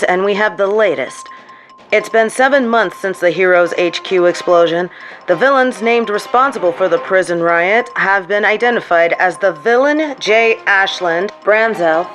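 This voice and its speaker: A woman playing a TV news anchor in an audio drama, reading a scripted bulletin in a formal, measured way. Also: mid-range voice, lightly acted, poor audio. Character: female TV news anchor reporting on superheroes and villains.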